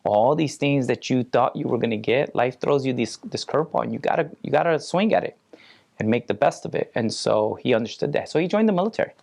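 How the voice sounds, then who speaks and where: Middle-aged male, gravelly creaky voice, medium pitch, halting personal storytelling. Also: expressive, darkish tone. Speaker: parent.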